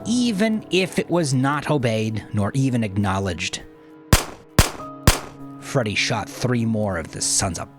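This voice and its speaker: A man reading scripted fiction in a dramatic, measured way, dropping into hushed, breathy phrase endings. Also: medium pitch. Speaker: audiobook narrator.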